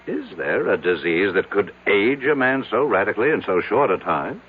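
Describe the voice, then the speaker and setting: Blunt, domineering tycoon, low husky voice. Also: male voice, big pitch swings, moderate pace. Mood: probing. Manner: curt, commanding, interrogating. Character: domineering, skeptical rich businessman, impatient and blunt. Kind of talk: old-time radio drama acting. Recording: tinny, band-limited old radio broadcast.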